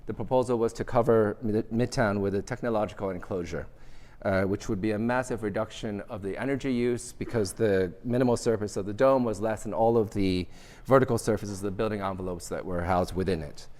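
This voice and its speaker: Middle-aged male architecture professor, Vietnamese first language, low voice, thoughtful halting delivery, reverberant lecture hall. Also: a little mellow. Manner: measured, thoughtful, with frequent restarts and fillers. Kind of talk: academic lecture with slides.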